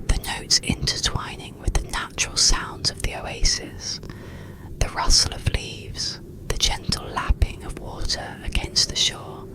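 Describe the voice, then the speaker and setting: A narrator reading a bedtime story in a breathy, unvoiced ASMR whisper, soothing, with pauses between short phrases. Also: poor audio.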